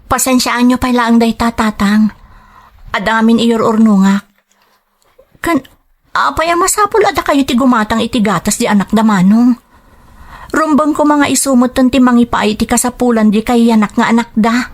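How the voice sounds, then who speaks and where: A woman's voice: fairly high pitch, moderate pace, lightly acted. A woman playing a dutiful but determined young working daughter in a radio drama, earnest and pleading, with an Ilocano Filipino accent. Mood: dutiful, reassuring.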